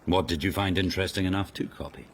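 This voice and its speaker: An actor playing a haughty, menacing court lord in a radio drama, speaking imperiously with cold sarcasm and deliberate weight. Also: male voice, a little hiss or hum. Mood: cold, probing. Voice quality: deep. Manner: imperious, coldly sardonic, deliberate.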